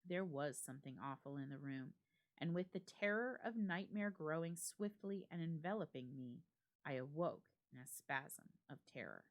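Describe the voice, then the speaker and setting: Female reader for classic ghost story: slightly low register, highly animated melody, measured and expressive delivery. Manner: measured, expressive, deliberate phrasing with pauses. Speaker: library staff member reading a story aloud.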